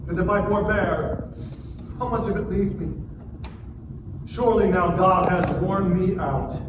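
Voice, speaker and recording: A man playing an anguished, defiant man pleading against God, declaiming with drawn-out phrases in a dramatic scripture reading. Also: high-pitched. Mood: weary grief. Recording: distant, very echoey, noisy live room.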